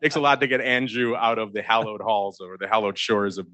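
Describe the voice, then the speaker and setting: A man's voice: on the low side, steady tone, fairly quick, sharp tone, dry recording, lo-fi sound. A man hosting a show at a live event, joking and teasing his co-hosts as he introduces them. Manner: jokey, teasing banter. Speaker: host. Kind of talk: casual chat. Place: event space away from the studio.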